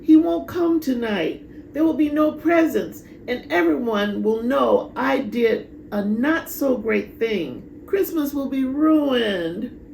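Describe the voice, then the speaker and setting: Woman as excitable anxious storybook little girl, dramatic, high swoops, roomy echo. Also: slow, creaky, a little hiss or hum, exaggerated voice. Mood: anxious, dismayed.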